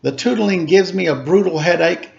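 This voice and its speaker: Man putting on a petulant, high-strung little boy. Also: normal speed, vocal fry. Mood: exasperated, whiny. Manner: exclaiming, complaining. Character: petulant young boy musical prodigy, fed up. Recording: slightly dull, lo-fi home recording.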